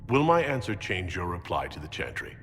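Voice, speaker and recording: A man's voice: low voice, big pitch swings, brisk, fairly bright tone. An actor playing a composed, skeptical male hero in a video game, speaking in a dry, matter-of-fact way. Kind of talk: video game dialogue acting. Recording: noisy, long hall reverb.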